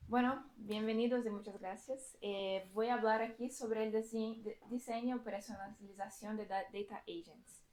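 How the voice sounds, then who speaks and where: A woman's voice: fairly high pitch, steady tone, normal speed, few pauses, a little mellow. A woman from Brazil with a Brazilian Portuguese accent, giving a conference tech talk in a lecture hall, hesitant with many fillers and restarts. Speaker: data engineering team lead presenting at a conference. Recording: echoey lecture room.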